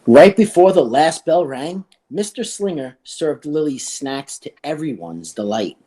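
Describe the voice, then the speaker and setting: Animated, mellow voice reading to children. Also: medium pitch, measured pace, slightly rough. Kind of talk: read-aloud of a children's book and casual discussion.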